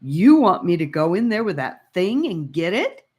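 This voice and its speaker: A woman's voice: lowish voice, big pitch swings, normal speed, a little mellow, breathy edge, pitched above the performer's own voice. Woman voicing a nervous, reluctant boy. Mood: horrified. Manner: nervous, unsure. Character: frightened, reluctant boy in a cave adventure.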